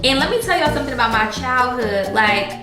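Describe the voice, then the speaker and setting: A young woman talks to her viewers from a home kitchen in a lively, slangy way. Her voice sits a bit high, and the room gives it a roomy echo. Music plays underneath. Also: a little fast. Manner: chatty, animated, uses slang. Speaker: vlogger. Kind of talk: casual chat.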